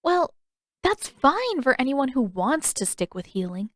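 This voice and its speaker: Storybook teen heroine, played by a woman: girl, high voice, headstrong, curious, candid and pressing, close dry sound. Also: big pitch swings, unhurried, fairly bright tone, dry recording, exaggerated voice. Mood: frustrated, headstrong. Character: earnest, headstrong teenage girl, curious and persistent. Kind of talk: audiobook character dialogue.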